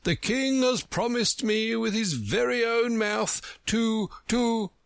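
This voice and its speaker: Man putting on a pompous slow-drawling egg creature. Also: very high-pitched, vocal fry, dry recording, pitched above the performer's own voice, full character voice. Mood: grand, dismissive. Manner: haughty, drawn-out, growling. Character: pompous, testy egg-man perched on a wall. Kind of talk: storybook character reading.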